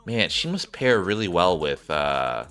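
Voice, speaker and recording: A man's voice: low voice, sing-song, measured pace, bright, forceful tone, smooth, soft background music. A man giving casual gameplay commentary as he streams a game. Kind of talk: casual gameplay commentary with read-aloud dialogue.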